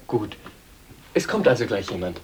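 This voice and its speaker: A man's voice: lowish voice, very animated, moderate pace, a little mellow. Placating policeman, noisy recording. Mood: placating, official. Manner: hesitant, official, repeating himself. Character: placating, slightly awkward police officer on duty. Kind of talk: radio drama dialogue.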